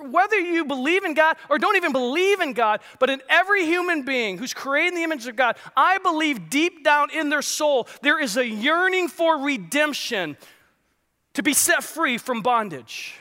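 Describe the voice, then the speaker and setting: A man's voice: very high voice, bright, forceful tone, in a large hall. A man preaching as lead pastor, joking with the congregation and asking them questions. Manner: jokey, conversational, asks the crowd questions. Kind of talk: sermon with audience banter. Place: large church hall.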